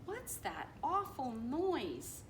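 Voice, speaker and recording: A woman's voice: high voice, huge pitch swings, drawn-out words, recorded from a distance, voice pushed up, full character voice. Woman voicing a plainspoken young storybook prince, echoey. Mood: bellowing. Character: dusty young prince, loud, plainspoken, modest suitor. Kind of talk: storybook character voice. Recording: roomy echo with clear background noise.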